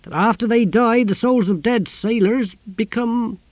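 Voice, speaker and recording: A man voicing a bluff, talkative ship's captain in an audiobook, loud, deferential and nervously obliging. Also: very high-pitched, a little slow, dry recording, voice pushed up. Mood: nervously chatty.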